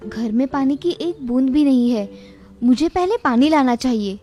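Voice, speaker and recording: A woman acts out a village housewife in a cartoon fable. Her voice is high-pitched, with a caring, devout manner. Faint music plays behind her. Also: animated, moderate pace, dark tone. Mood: practical. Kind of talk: cartoon moral-story dialogue.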